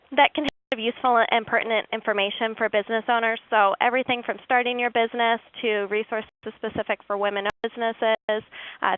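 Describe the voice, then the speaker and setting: A woman hosts a webinar in a fairly high voice, steady and informative with a few fillers. The sound is dry and thin, like a phone line. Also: smooth, no room sound. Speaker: webinar host. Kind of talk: webinar presentation.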